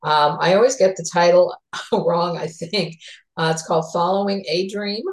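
A woman talk show host and therapist who speaks in a chatty, unhurried way, with frequent ums as she plugs sponsors and introduces her guest. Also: on the low side.

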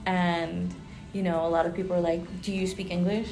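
A woman's voice: lowish voice, little pitch movement, a little fast, vocal fry, music in the background, rough recording. A young woman with a Tex-Mex accent whose first language was Spanish, co-hosting a casual podcast chat and slipping into Spanglish. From Rio Grande Valley, Texas. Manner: chatty, switches into Spanglish. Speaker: podcast co-host.

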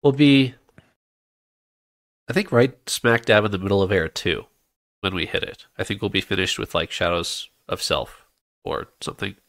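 A man's voice: low-pitched, moderate pace, long pauses, no room sound. A man hosting a casual podcast chat, leading the talk and handing out turns, sounding a bit nasal from a cold. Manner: relaxed, leads the talk and hands out turns. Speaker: podcast host.

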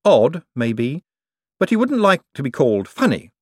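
A man voicing a polite, eager young banker in a raised, bright voice. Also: mid-range voice, big pitch swings, moderate pace, no room sound, studio quality, voice pushed up. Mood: wry.

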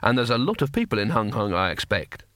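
Man playing a nervous, wry wizard, very animated. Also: mid-range voice, fast talker, dry recording, studio quality. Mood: incredulous, wry. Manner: hesitant, wry, questioning. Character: nervous, doubtful, sarcastic cowardly male wizard.

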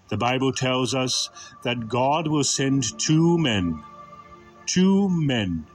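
Man, mid-range voice, unhurried delivery, solemn scripted sermon narration, emphatic, music underneath. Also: mid-range voice. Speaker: preacher narrator. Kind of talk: scripted Bible teaching narration.

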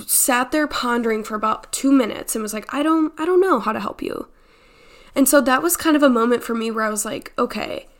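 A woman's voice: on the high side, fairly bright tone. A nineteen-year-old woman hosting a casual personal podcast, chatty and informal, sounding a little congested and stuffed up. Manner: chatty, informal, peppered with "like" and "you know". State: congested, slightly stuffed-up nose. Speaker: podcast host.

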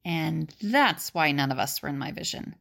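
A woman playing a serious, earnest seer who has visions, in an audio drama, solemn and deliberate. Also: low-pitched, animated, gravelly, fairly bright tone, heavily acted. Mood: urgent.

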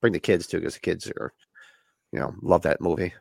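A man co-hosting a podcast in casual chat, gravelly and smoky from a recent flu, laid-back and swearing casually. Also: low voice, fairly quick, no room sound, clean studio sound. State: getting over a flu. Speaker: podcast co-host.

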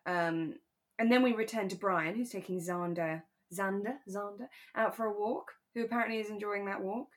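A woman's voice: mid-range voice, normal speed. A woman chatting casually as a podcast co-host, recapping a radio drama in a conversational way. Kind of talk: relaxed chat discussing a radio drama.

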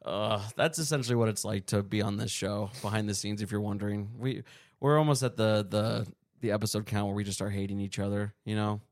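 A man's voice: lowish voice, steady tone, fairly quick, no room sound, clean studio sound. A man co-hosting a casual podcast, rambling and laughing between restarts. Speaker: podcast co-host. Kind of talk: casual chat.

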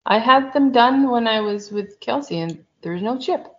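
A woman co-hosting a casual chat, talking in a rambling, lively way about her week as a church school teacher. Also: a little high, normal speed. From outside the United States. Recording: dull lo-fi sound.

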